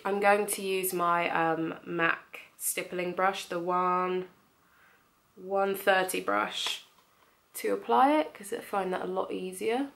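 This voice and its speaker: A woman's voice: on the low side, fairly even intonation, slow, vocal fry, a bit bright. Young woman, beauty vlogger, mild cold, nasal, casual tutorial chat. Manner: chatty, friendly, lots of ums. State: has a cold, slightly nasal.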